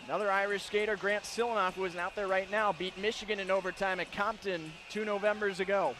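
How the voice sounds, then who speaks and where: A man doing live radio play-by-play commentary of ice hockey, narrating the action in crisp detail from an arena. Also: high-pitched, steady tone, fast talker, music in the background. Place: broadcast position in an indoor hockey arena. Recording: live broadcast with clear arena noise.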